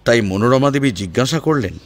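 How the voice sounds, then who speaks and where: A man's voice: mid-range voice, expressive, brisk. A man reading a scripted story as a dramatic narrator. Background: background music.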